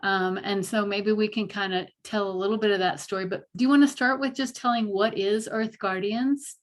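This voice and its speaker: A woman's voice: medium pitch, fairly even intonation, moderate pace. A woman hosting an online panel, chatty and upbeat, with frequent laughs and filler words. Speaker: host.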